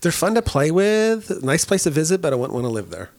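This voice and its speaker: A man cracking crude jokes in a casual group chat. His pitch jumps around a lot. He often laughs at his own punchlines. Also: big pitch swings, a little fast, creaky, darkish tone.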